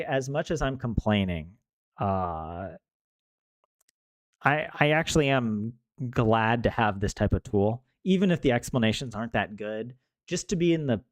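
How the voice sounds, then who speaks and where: A man's voice: medium pitch, no echo. A young man chatting casually with viewers as he studies, joking and laughing with lots of rambling restarts. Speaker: language learner streaming to viewers. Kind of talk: casual chat with viewers while studying.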